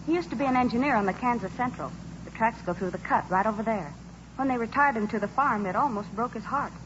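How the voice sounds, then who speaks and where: A wholesome young farm woman acted by a woman with a high voice. She is earnest, gentle and trusting in old radio-drama style. The recording is muffled with faint noise. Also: quick pace, lightly acted. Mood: earnest, nostalgic. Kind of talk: 1940s radio acting.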